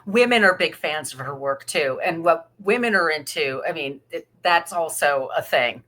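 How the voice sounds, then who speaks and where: A woman speaks as a podcast co-host in relaxed conversation. Her voice sits slightly low for a woman, and her delivery is casual and chatty. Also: moderate pace. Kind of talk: casual chat.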